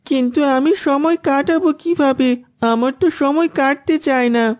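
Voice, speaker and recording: A woman's voice: sits high, moderate pace, a little mellow, natural voice. A woman voicing a meek, earnest young boy in a children's cartoon, with a plaintive, innocent manner. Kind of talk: Islamic children's cartoon dubbing.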